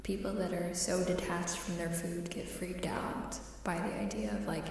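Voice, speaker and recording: A woman live streamer chatting casually in ASMR style, soft-spoken and often slipping into a whisper, musing and repeating her phrases. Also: fairly low pitch, level tone, moderate pace, vocal fry, recorded from a distance. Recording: heavily echoing reverberant room sound, faint noise.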